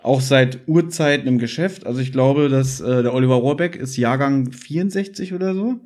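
A man's voice: mid-range voice, normal speed, darkish tone. Casual male podcast host, explaining things. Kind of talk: casual chat about an audio drama series.

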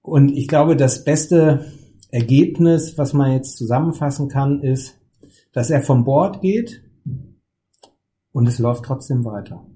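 A man's voice: medium pitch, mellow. A man talking casually in a podcast conversation, often stopping with fillers and restarting his sentences. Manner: halting, many fillers and restarts. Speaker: committee lead of an association.

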